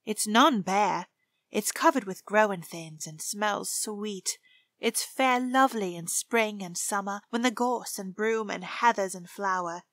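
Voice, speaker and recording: A woman's voice: mid-range voice, unhurried, no room sound. A woman playing a cheerful, chatty young housemaid. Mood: fond. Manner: warm and chatty dialect speech.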